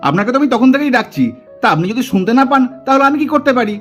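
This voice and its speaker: Man voicing a fast-talking cocky young cartoon uncle. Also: very high-pitched, animated, faint music behind. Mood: teasing. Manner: sarcastic, grumbling, cocky. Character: teasing, grumbling, boastful young uncle in puppet cartoon. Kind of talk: exaggerated Bengali puppet cartoon acting.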